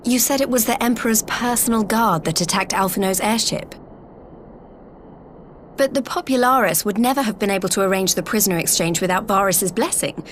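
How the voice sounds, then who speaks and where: A woman's voice: mid-range voice, brisk, slight background noise, natural voice. A woman playing an earnest, plainspoken young woman fighter in a video game cutscene. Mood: concerned, earnest.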